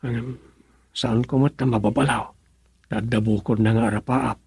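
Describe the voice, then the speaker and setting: An actor with an Ilocano accent playing a lovesick, anxious young man in a radio drama, his voice husky. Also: medium pitch, fairly even intonation, normal speed, a little mellow, dry recording. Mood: wistful.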